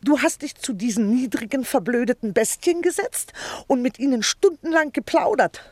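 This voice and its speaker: An actress playing a big, nagging, self-pitying wife in a theatrical radio play, scolding and indignant. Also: female voice, high voice, expressive, normal speed, no room sound. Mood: scornful, scolding. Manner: scolding, indignant, dramatic complaining.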